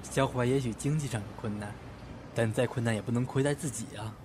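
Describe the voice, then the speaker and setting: A man's voice: medium pitch, fairly quick, background noise, close to an everyday voice. A man voice-acting an earnest, concerned young friend in a Chinese audio drama. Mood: earnest pleading.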